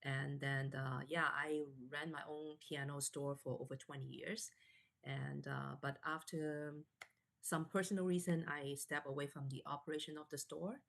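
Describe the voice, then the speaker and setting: A woman in her forties from Canada, a guest in a live online interview, telling her story softly with many fillers and restarts. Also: sits low, fairly even intonation, moderate pace, vocal fry.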